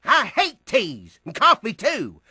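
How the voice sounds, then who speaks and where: An actor playing a cantankerous, suspicious old farmer with a rural American accent and folksy grumbling. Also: male voice, very high voice, huge pitch swings, slow, bright, forceful tone, husky, no room sound, studio quality, exaggerated voice. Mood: irritated.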